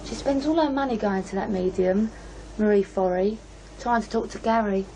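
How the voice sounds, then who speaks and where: Casting: actress for offhand, guarded young British woman, plain-spoken working-class, medium pitch, radio drama with faint noise. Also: normal speed, warm tone, lightly acted, rough recording. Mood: flat, offhand. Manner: blunt, colloquial. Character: blunt, guarded working-class young woman, matter-of-fact about family.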